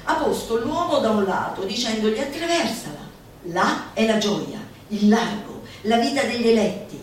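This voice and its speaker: A woman performing a theatrical, comic declamation with an emphatic, drawn-out delivery. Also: fairly high pitch, animated, slight background noise. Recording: echoey live recording from far off. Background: music.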